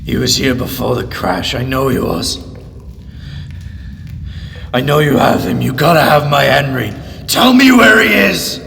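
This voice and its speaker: A man's voice: mid-range voice, animated, a little slow, vocal fry, long pauses, fairly bright tone, long reverb tail, a little hiss or hum, heavily acted. A man acting in an audio drama as a frightened, distraught captive father, anguished and pleading. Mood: desperate, demanding. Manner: anguished, pleading, swinging to outbursts.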